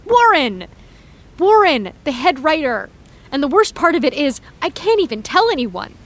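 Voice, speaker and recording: A woman voicing an anxious, guilt-ridden teenage girl in an audio drama, talking in a lively, emotional way. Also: high-pitched, heavily acted. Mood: fretful. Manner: lively, emotional, quick to protest.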